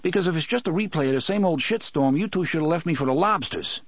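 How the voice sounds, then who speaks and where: A male narrator puts on a young man close to tears, his voice pushed high and quick with bitter, pleading anger. The delivery swings widely in pitch and sounds dry and close to the mic. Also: expressive, no room sound. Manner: pleading, rapid, raised and strained. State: crying. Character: young man, desperate, angry, tearful.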